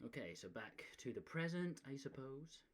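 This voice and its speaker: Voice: medium pitch, big pitch swings, moderate pace, heavy background noise. A game streamer giving casual, playful and slangy reaction commentary.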